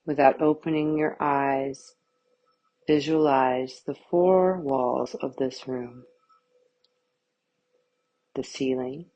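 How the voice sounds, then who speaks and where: A woman guiding a yoga nidra meditation with calm, soothing, measured scripted instructions. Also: rumbling low, rough recording.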